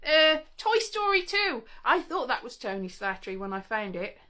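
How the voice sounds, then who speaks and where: A woman's voice: fairly high pitch, very animated, moderate pace, pushed and bright. A woman talking casually to camera in a chatty, wry, rambling way, often breaking off and interrupting herself. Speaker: vlogger showing old interview tapes.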